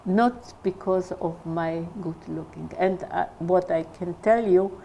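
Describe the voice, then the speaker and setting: An older woman, giving an oral history interview, halting and searching for English words. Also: on the low side, vocal fry, dark tone, slight background noise.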